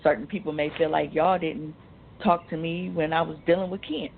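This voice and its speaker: Low gravelly woman on a phone-like line. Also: fairly even intonation, fairly quick, slight background noise. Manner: assertive, outspoken, slangy. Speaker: radio show co-host. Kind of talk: casual panel discussion. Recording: tinny, band-limited phone-line sound.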